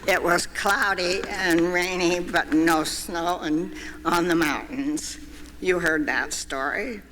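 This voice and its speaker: An older man giving a measured memorial tribute, reading prepared remarks in a church. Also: high-pitched, steady tone, pushed and bright, slight background noise. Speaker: eulogy speaker. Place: church sanctuary. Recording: long church hall reverb.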